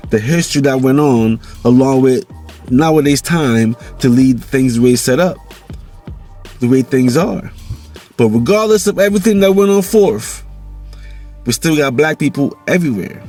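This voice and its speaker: Jamaican male vlogger, mid-pitched, easygoing and rambling, faint music bed. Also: darkish tone. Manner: loose, rambling, repeats "you know". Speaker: vlog host. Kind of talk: casual monologue vlog.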